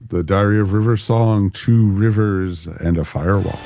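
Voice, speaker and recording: A man's voice: fairly low pitch, normal speed, mellow, soft background music. A man hosting a podcast in a casual, jokey, informal way. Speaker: podcast host.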